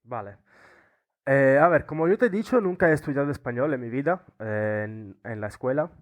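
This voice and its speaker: A young Italian man with an Italian accent, a podcast guest chatting casually and often pausing, restarting and searching for words. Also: medium pitch, animated. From Italy. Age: young adult. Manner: hesitant, many restarts and fillers, searching for words. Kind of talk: casual interview chat.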